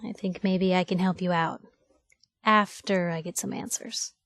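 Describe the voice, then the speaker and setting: A woman's voice: lowish voice, fairly even intonation, unhurried, a bit bright, no room sound, studio quality. A woman playing a brash, nosy and determined young reporter in a video game, with a sassy, sardonic, pushy manner. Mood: wry, inquisitive. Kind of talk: video game dialogue acting.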